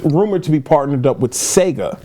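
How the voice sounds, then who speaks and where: A man's voice: a little high, lively intonation, a little fast, warm tone. A man hosting in casual chat, easygoing and questioning as he moves the conversation along. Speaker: podcast host moving through news topics. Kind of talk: casual chat about games and movies.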